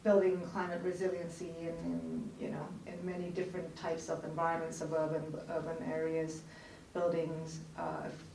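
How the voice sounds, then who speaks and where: A woman's voice: low-pitched, little pitch movement, moderate pace, gravelly, dark tone, recorded from a distance. A woman who grew up in Thailand, talking casually in a panel conversation, with lots of "you know" and filler words. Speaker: resident guest. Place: TV studio. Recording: roomy studio heard from off-mic, faint noise.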